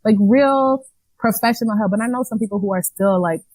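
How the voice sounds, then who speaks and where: A woman's voice: on the high side, a little fast, darkish tone, no echo. A young adult woman from Rwanda, talking as an interview guest about her nonprofit in a candid, chatty way with fillers and restarts. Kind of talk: casual conversational interview.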